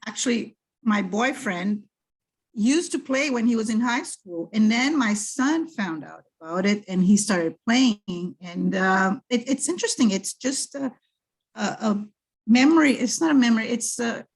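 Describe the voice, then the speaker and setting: Middle-aged woman from Brazil, husky, thoughtful guest who restarts her sentences. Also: fairly high pitch, animated, unhurried. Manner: thoughtful, with frequent restarts and fillers. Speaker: guest, French teacher and PhD candidate. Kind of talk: casual interview chat.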